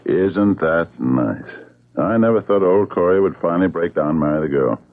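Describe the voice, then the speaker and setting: A deep-voiced, menacing villain in an old radio drama, mock-polite and taunting. His gravelly delivery swoops widely through a narrow, phone-like old broadcast sound. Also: animated, normal speed. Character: menacing, mocking man lording it over his enslaved friend.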